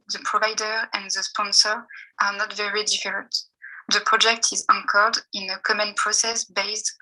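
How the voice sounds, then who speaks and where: Bright-voiced woman reading a paper. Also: medium pitch, low-quality recording. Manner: careful, measured reading with pauses between phrases. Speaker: PhD student presenter. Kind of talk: reading a seminar paper aloud.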